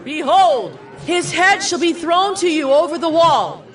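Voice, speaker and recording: Actress as high-pitched, impassioned wise city woman. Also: lively intonation, a little slow, recorded from a distance. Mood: resolute. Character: wise woman of a besieged city, bold, pleading. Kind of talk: dramatized scripture acting.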